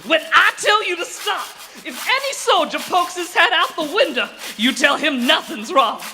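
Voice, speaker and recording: Taunting cowboy-show bandit, drawly and sharp, big hall reverb, bit of noise. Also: female voice, very high-pitched, sing-song, exaggerated voice. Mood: menacing. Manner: drawn-out, taunting delivery with chuckles. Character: gloating, drawling Western outlaw boss. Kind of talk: Western radio drama acting. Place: theater stage. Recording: noisy stage recording in echoing hall.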